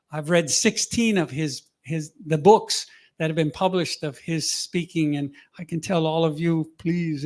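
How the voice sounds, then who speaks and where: A man speaking to an audience in a casual, halting way, full of fillers and restarts. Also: fairly high pitch. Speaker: speaker on a panel.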